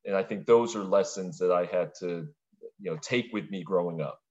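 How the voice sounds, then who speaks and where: A man's voice: sits low, moderate pace, darkish tone, highs cut off. A middle-aged man from Brooklyn, a podcast interview guest, talking reflectively in long, winding sentences. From Brooklyn, New York.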